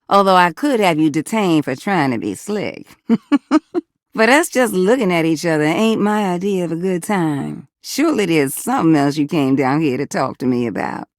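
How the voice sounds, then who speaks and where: Sassy, swooping American flirt. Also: female voice, lowish voice, gravelly, dry recording, studio quality, exaggerated voice. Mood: flirty, teasing, then curious, warm. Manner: folksy, sassy, teasing with pet names. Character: sassy, flirtatious female household object, hot and confiding. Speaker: voice actor in a dating simulator game. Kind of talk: flirty character dialogue, game acting.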